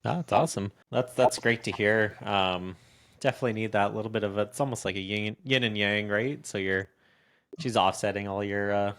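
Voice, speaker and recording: A man's voice: lowish voice, moderate pace, fairly bright tone. A man co-hosting a parenting podcast, reading a scripted intro and then chatting easily with fillers. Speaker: podcast co-host.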